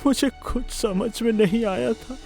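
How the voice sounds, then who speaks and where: A man playing a humble, remorseful village father in a cartoon, speaking in a halting, pleading way. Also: very high voice, creaky, a little mellow, faint music behind, heavily acted. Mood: desperate, pleading. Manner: halting, pleading, repeats words. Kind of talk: Hindi moral-story cartoon acting.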